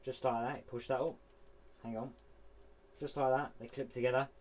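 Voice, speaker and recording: A man's voice: mid-range voice, little pitch movement, normal speed, slight background noise. A man casually unboxing a radio, chatty and hands-on, narrating each step as he goes. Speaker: vlogger unboxing radio gear. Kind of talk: casual product unboxing walkthrough.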